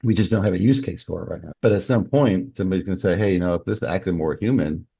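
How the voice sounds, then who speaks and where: A man with a gravelly, husky voice talking ideas through speculatively as an interview guest. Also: deep voice, fast, mellow, no echo. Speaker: guest, novelist. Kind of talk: conversational interview discussion. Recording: dull, muffled dry recording.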